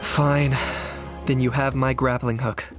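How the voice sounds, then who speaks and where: A man playing a cool, terse, reluctant young man in a video game, deadpan. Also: medium pitch, slow, lightly acted. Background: background music.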